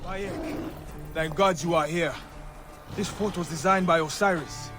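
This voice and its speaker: A man's voice: fairly high pitch, fairly even intonation, unhurried, music in the background, natural voice. An actor playing an anxious, devout male comrade, fearful and urgent, in a video game drama. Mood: anxious, relieved.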